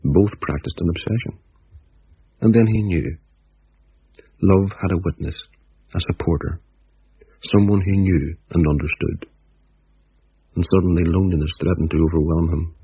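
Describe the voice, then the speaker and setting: A man narrating a radio drama in a measured, reflective storytelling manner. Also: low voice. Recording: husky voice on dull radio recording.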